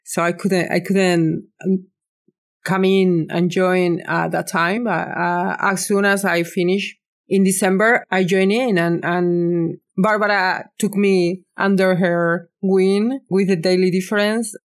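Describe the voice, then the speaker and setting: A woman's voice: fairly low pitch, fairly even intonation, takes it slowly. Woman, 50s, from southern Spain, hesitant, restarts. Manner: hesitant, many restarts, non-native phrasing. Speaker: podcast guest. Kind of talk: casual chat.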